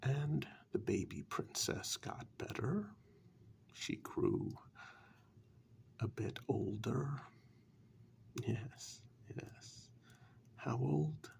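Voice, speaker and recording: A man playing a sly, condescending warlock in a soft-spoken ASMR dark fairytale role-play, patronising and deliberate, with long pauses. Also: medium pitch, exaggerated voice. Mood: smug, storytelling. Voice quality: soft, breathy half-whisper with gravelly creak.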